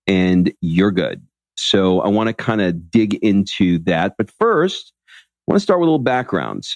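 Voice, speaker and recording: A man's voice: on the low side, darkish tone, dry recording. A man hosting an interview podcast, speaking in an upbeat presenter style. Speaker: podcast host. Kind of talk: upbeat scripted introduction and interview.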